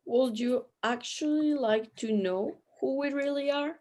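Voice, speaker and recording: A woman playing a knowing, cryptic afterlife companion with deliberate storytelling delivery in a sci-fi radio drama. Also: sits high, warm tone.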